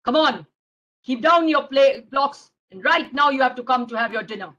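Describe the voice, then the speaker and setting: A woman's voice: high-pitched, moderate pace, a bit bright, highs cut off. Woman playing a firm, patient storybook grandfather. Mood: coaxing, firm. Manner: firm, instructing.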